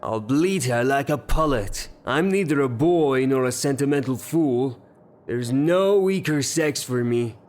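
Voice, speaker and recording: An actor playing a hot-tempered, blustering rustic gentleman in a theatrical audio drama, declaiming his lines. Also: mid-range voice, lively intonation, unhurried, faint music behind, lightly acted.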